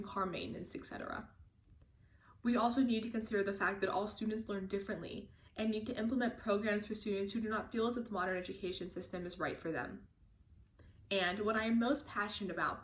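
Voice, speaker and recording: A woman's voice: medium pitch, reverberant, low-quality recording. A teenage girl earnestly reading a prepared personal statement for a scholarship application. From United States. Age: teens.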